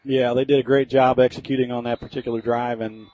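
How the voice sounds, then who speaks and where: A man's voice: medium pitch, little pitch movement, quick pace, warm tone, a little hiss or hum. An American man giving informal, folksy color commentary during a live football broadcast. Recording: slightly dull, lo-fi radio sound.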